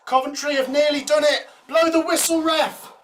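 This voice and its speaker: A man calls a football match live for his fans, with excitable play-by-play that bursts into shouts. His voice has turned hoarse and breathless from celebrating. The tone is bright and forceful, with a faint hiss behind it. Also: very high voice, normal speed. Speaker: watchalong host commentator. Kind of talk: live fan commentary.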